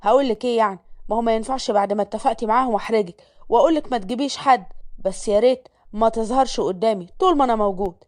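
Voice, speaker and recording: A woman's voice: a little high, very fast, a little mellow, natural voice. A woman voicing a stern, short-tempered young male businessman with an Egyptian accent, speaking curtly and coldly. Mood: irritated. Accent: Egyptian Arabic. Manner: curt, menacing, clipped.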